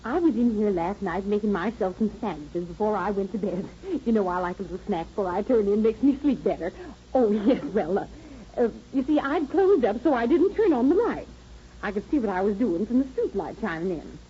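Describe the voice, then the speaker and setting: An actress playing a garrulous, flattered, homely American shopkeeper who talks in flustered colloquial style in an old radio drama. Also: female voice, mid-range voice, a little fast, natural voice. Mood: flattered, chatty. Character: chatty, folksy, flattered small-eatery proprietress. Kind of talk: 1930s radio acting. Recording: muffled, noisy vintage radio recording.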